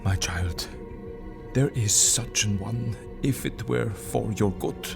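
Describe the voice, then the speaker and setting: An actor playing a solemn, fatherly older professor in an audio drama, speaking gravely and deliberately over music. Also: on the low side. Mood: solemn, tender. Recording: music under the voice.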